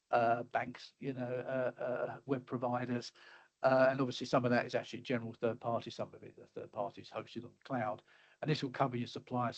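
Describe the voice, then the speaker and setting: A middle-aged man giving a webinar talk over slides, halting and full of fillers and restarts, his voice a little hoarse as he keeps clearing his throat. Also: medium pitch, darkish tone. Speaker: webinar presenter. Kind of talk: casual presentation with slides. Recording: slightly dull lo-fi audio.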